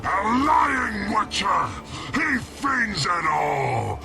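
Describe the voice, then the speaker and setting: An actor playing a bitter, vengeful monster in a game, with a gravelly voice and a theatrical, accusing delivery. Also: male voice, sits high, sing-song, very slow, exaggerated voice. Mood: furious. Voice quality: gravelly, creaky. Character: bitter, vengeful male monster who distrusts all humans. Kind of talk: video game cutscene acting. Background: music.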